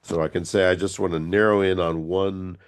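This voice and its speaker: A man with a low-pitched voice leads an online workshop on a video call. He sounds a little stuffy from a cold. His delivery is informal and somewhat hesitant as he walks through a demonstration step by step. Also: fairly even intonation, moderate pace, smooth. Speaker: workshop instructor. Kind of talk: informal online tutorial, explaining a software demo.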